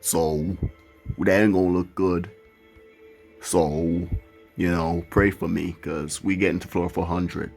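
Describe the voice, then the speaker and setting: A man's voice: low-pitched, level tone, slow, long pauses, a little mellow. A man giving casual, rambling gameplay commentary. He swears now and then and talks candidly as he plays. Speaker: gaming channel host. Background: his own music playing faintly.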